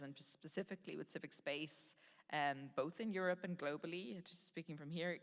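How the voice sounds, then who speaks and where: A woman with an Irish accent moderating a panel discussion, speaking conversationally with hesitant fillers and restarts. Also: deep voice. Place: conference centre session room. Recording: long reverb of a large hall.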